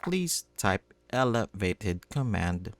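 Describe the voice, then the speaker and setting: A man with a lowish voice reads game text aloud as a streamer. He takes it very slowly, and music plays clearly behind him. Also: big pitch swings. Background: background music.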